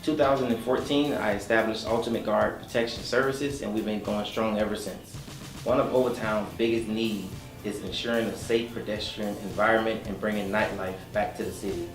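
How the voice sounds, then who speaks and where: Low-voiced businesslike male company owner. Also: fairly even intonation, fairly quick, reverberant, over music, rough recording. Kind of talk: reading a promo, then guest chat.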